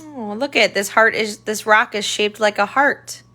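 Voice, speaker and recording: Lady reading a kids picture book, slow pace, really bright voice, stops to point stuff out. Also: medium pitch. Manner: simple child-directed phrasing, pointing things out with questions and remarks. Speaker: tutor reading picture books aloud. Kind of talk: children's read-aloud with explanatory asides.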